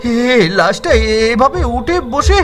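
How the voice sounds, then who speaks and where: A man voicing a timid, jumpy young village man in an animated horror story, stammering and quick to panic, over music and rain. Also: very high voice, full character voice. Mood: frightened.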